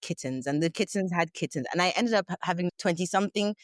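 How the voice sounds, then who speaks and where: A woman from Nigeria with a notably deep voice, telling stories in a chatty, unhurried way. She is close to the mic in a dry room with no echo. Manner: chatty and warm storytelling, frequent "like" fillers. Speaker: guest, fashion founder. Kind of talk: casual remote interview chat. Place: remote call from Lagos.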